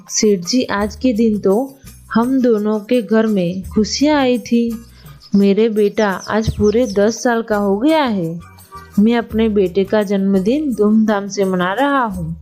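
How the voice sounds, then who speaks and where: A woman playing a reassuring village priest in a Hindi moral story cartoon, speaking in measured phrases. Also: medium pitch, warm tone, faint music behind, natural voice. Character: reassuring male village priest, a proud father.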